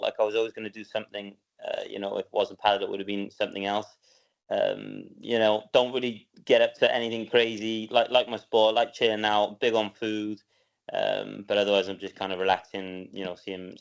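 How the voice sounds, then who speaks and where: A young man from Wales answering interview questions in a relaxed, hesitant way, with many ums and repeated words. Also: fairly low pitch, gravelly. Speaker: guest, professional padel player. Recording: dull lo-fi sound.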